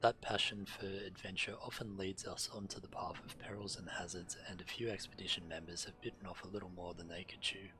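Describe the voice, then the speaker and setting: A man's voice: low-pitched, little pitch movement, fairly quick, few pauses, background noise. A man streaming a video game, reading quest text aloud over game music.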